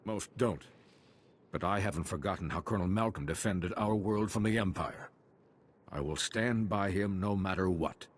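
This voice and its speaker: Stoic game nobleman, very low pitch, raspy edge, formal, courteous, loyal. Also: normal speed. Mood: gracious, proud. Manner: formal, gracious. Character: courteous, loyal male minor nobleman, wounded but stoic. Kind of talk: video game voice acting.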